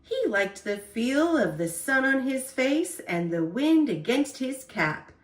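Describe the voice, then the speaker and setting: A woman storyteller reading a children's picture book aloud in an expressive, deliberate way. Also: sits high, roomy sound.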